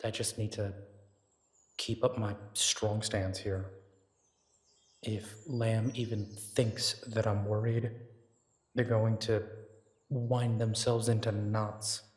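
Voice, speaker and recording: A man voicing a protective male werewolf detective in an audio drama, speaking in a gentle, reassuring, unhurried way. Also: fairly low pitch, level tone, slightly rough, no echo. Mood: worried inner thoughts. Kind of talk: romantic audio drama roleplay.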